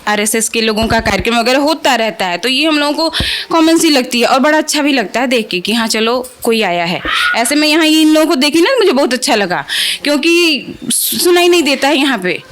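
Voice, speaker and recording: A woman's voice: sits high, expressive, a little fast, a bit bright, a little hiss or hum. A woman, a local resident, giving a casual, unscripted answer in an interview.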